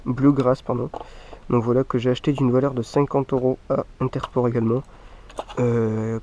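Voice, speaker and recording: Man, head cold, stuffy voice, casual vlogger chat, rambling, repeats filler phrases, medium pitch, faint background hiss. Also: a little mellow. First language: French. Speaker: mountain bike vlogger. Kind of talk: casual gear showcase talk to viewers.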